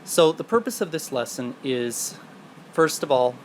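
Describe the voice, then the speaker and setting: A man's voice: fairly high pitch, expressive, a little slow, a little hiss or hum. Patient man teaching a lesson. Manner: patient, explanatory. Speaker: programming teacher. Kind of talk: recorded video lesson.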